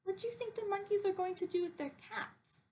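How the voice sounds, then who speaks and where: A woman teacher reading a picture book to young children in an expressive, sing-song, emphatic way and putting questions to them. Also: extremely high pitch, a little fast, slight background noise.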